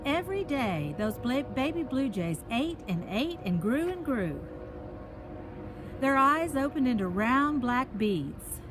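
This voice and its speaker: Lively, high-voiced woman reading a children's story outdoors. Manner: addresses young listeners directly, explaining and asking questions. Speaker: picture book reader and host. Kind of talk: reading a children's story aloud with chatty asides. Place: outdoors, walking along a park path. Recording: noisy outdoor ambience while walking.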